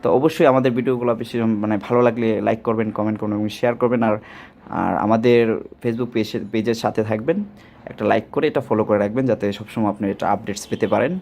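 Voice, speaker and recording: A man's voice: fairly low pitch, fairly even intonation, fast, a little mellow, slight background noise. A man with a Bangladeshi accent giving an informal, loosely improvised product demonstration, repeating himself and reaching for filler words. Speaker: product presenter for a walkie-talkie seller.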